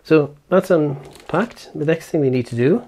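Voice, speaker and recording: A man's voice: a little high, measured pace, dark tone, slight background noise. A man giving casual hands-on product commentary as a reviewer, explaining step by step and thinking aloud informally. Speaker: tech reviewer and presenter.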